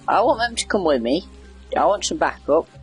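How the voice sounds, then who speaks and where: Husky male gamer, lighter pitch. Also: very animated, moderate pace, faint music behind. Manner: wry, chatty, reacting aloud to the game. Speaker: gamer doing a video game playthrough. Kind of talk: casual rambling game commentary.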